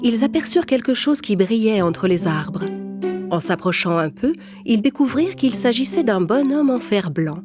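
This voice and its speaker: A woman's voice: fairly high pitch, expressive, moderate pace, over music. A woman reading a scripted children's story as narrator.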